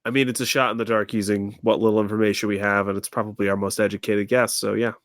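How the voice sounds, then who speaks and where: A man's voice: lowish voice, level tone, quick pace, dry recording, clean studio sound. A man chatting casually as a player in a tabletop role-playing game.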